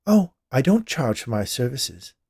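A man's voice: mid-range voice, very animated, unhurried, a little mellow, slightly rough, no room sound, studio quality, pitched above the performer's own voice, exaggerated voice. A man voicing a plump, unperturbed woman spirit medium with a lofty, knowing, explanatory manner in a storybook reading. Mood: unperturbed, gracious.

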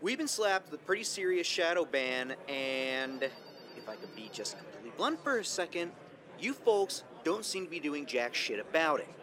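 A man's voice: fairly high pitch, very animated, moderate pace, a bit bright. A man playing a folksy, indignant, self-important conspiracy video host in an audio comedy. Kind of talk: online video rant, acted in audio fiction.

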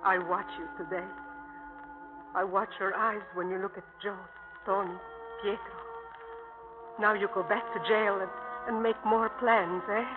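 Woman acting a slow, sorrowful old mother. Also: medium pitch, steady tone, lightly acted. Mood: reproachful. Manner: slow, reproachful, broken English. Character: sorrowful, stern old immigrant widowed mother. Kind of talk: 1950s radio drama acting. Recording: narrow-band vintage radio recording with music.

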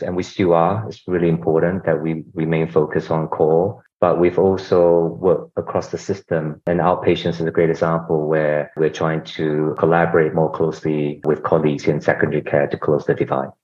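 A middle-aged man from Singapore, talking as a podcast guest with a very low voice. He explains at length and restarts phrases, dropping in "you know" as he goes. The recording sounds muffled and lo-fi. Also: little pitch movement, normal speed, hardly any pauses, darkish tone. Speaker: guest, healthcare executive. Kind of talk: conversational interview answers. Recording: dull lo-fi audio.

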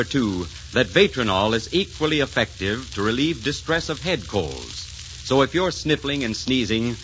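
A muffled old radio recording with faint noise. A male announcer of the 1940s speaks in a bright, mid-pitched voice, selling in a folksy, polished style. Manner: folksy, polished salesman delivery.

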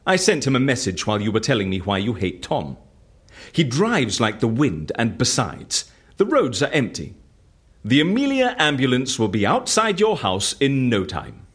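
A man's voice: mid-range voice, wildly swooping pitch, moderate pace, fairly bright tone, slightly rough, exaggerated voice. A man in an audio drama playing an eccentric, suave, flippant fixer with a breezy, drily playful manner. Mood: brisk, assured. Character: eccentric, suave, flippant male fixer who disappears people.

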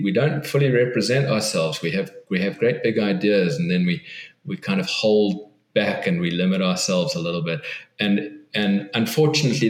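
Interview guest: a lower-voiced man, earnest and reflective, who restarts his sentences, recorded in an echoey room. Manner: thoughtful, earnest, frequent restarts and repetitions. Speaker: guest author. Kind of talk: podcast interview.

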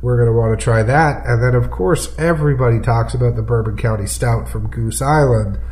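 A man's voice: medium pitch, a little fast, a little mellow. A man co-hosting a podcast in easygoing casual chat, with a nasal voice that is stuffy from a cold. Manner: easygoing, laughs while talking. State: congested, under the weather. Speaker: podcast co-host.

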